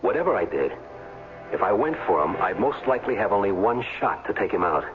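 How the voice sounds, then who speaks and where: Low-voiced, tough radio hero. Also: male voice, sing-song, brisk, faint music behind. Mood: tense, determined. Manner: plain-spoken, hard-boiled. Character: defiant retired football player, tough everyman narrator. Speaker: first-person narrator and lead. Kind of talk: 1970s radio drama acting. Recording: very muffled 1970s radio recording.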